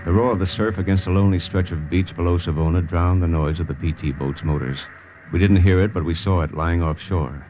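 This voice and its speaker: An actor playing a steadfast American intelligence lieutenant in old radio drama style, measured and stoic, with an American accent. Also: male voice, deep voice, mellow, lo-fi sound, a little hiss or hum, lightly acted. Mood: reflective. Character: steadfast American male OSS lieutenant, resolute and self-sacrificing. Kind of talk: 1940s-50s radio acting, first-person narration and dialogue. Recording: old-time radio recording with music behind.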